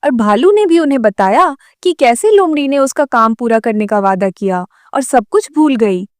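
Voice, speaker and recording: A woman's voice: a little high, lively intonation, moderate pace, darkish tone, no room sound, studio quality. A woman narrating a scripted children's cartoon story.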